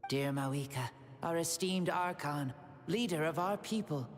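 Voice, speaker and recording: A serene boy with the soul of an ancient hero in an anime game. The voice sits on the low side, formal, gentle and measured, with faint music behind it. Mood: solemn, gentle. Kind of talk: anime video game voice acting.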